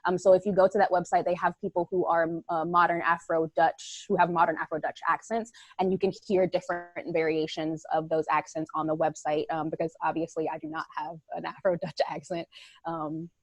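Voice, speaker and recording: Lady actor on a video call, lowish voice, laughs a lot, lots of ums. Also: level tone, fairly quick, no echo. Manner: chatty, laughs often, many ums. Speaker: actor and playwright guest. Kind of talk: casual video-call conversation.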